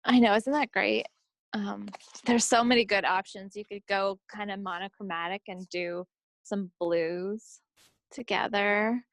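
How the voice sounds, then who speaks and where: A woman's voice: medium pitch, steady tone, measured pace, dry recording. Woman, podcast co-host, mid-range voice, chatty, informal, unscripted craft talk, dry recording. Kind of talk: casual, unscripted craft chat.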